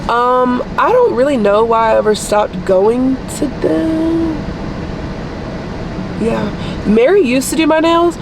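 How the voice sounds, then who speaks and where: A woman's voice: high voice, animated, slow pace. Chatty young woman vlogger, noisy background. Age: young adult. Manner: chatty, rambling, says y'all a lot. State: voice deeper than usual after losing it. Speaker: vlogger, nursing student. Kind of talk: casual chat to camera. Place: coffee shop and car. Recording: noisy background with air conditioning.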